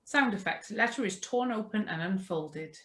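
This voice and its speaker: A woman's voice: medium pitch, animated, normal speed, a bit bright. A woman reading out sound and music cues from a script during a video call drama reading. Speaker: stage-direction reader.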